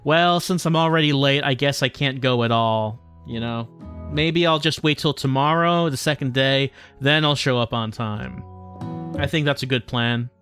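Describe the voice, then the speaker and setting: A man's voice: mid-range voice, moderate pace, fairly bright tone, soft background music. A man doing casual livestream commentary and reading game text aloud, in a wry, self-deprecating manner. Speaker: game streamer.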